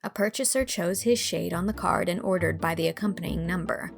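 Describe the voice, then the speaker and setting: Woman narrator, slightly low pitch, noticeable creak, scripted storybook reading. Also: a little fast, soft background music.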